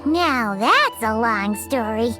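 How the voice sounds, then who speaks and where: A woman voicing a tiny, childlike, food-loving fairy companion in a video game, squeaky and bubbly. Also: very animated, slow pace, over music. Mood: inquisitive. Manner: talks of self in third person, bubbly. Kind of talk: video game character voice acting.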